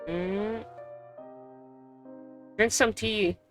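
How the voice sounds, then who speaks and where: A woman's voice: mid-range voice, steady tone, extremely slow, a bit bright, faint music behind. A woman streamer giving casual gameplay reactions in short, playful, slangy remarks.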